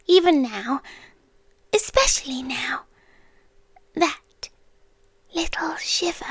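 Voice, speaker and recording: A British woman playing an ancient, possessive, inhuman stalker in an intimate ASMR roleplay, speaking in a hushed, deliberate, teasing way that slips into whispers. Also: sits high, no echo.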